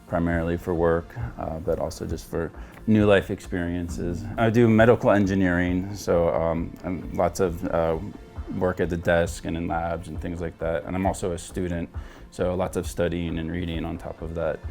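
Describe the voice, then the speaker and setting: Deep-voiced earnest man in his forties. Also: level tone, normal speed, gravelly, darkish tone, faint music behind. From Florida, United States. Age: 40s. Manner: earnest and reflective, rambling with many restarts. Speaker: coaching client. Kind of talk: candid personal testimonial.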